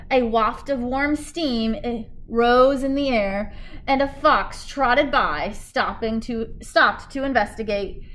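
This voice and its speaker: Woman reading to children, high and very lively. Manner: expressive, addressing young children with questions. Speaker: teacher reading a picture book aloud. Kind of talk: children's storybook read-aloud with asides. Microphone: device in front at about arm's length, speaking through a face mask.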